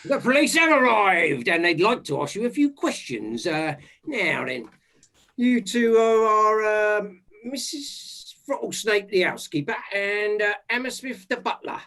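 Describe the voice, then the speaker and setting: A man's voice: sits high, very animated, unhurried, sharp tone, heavily acted. A man playing a bumbling, self-important police inspector, rambling and condescending, in a comic murder mystery. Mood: officious.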